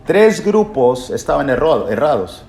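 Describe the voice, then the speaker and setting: A man's voice: mid-range voice, very animated, measured pace, darkish tone. Male preacher, didactic, rhetorical questions, repeated key phrases, echoey room, faint background noise. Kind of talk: Bible lesson sermon with rhetorical questions.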